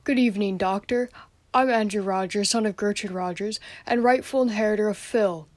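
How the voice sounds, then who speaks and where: A woman's voice: medium pitch, a little fast, darkish tone, dry recording, close to an everyday voice. A young female student playing an aggrieved, jealous, overlooked son in a radio play. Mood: earnest, wistful. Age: teenager or young adult student.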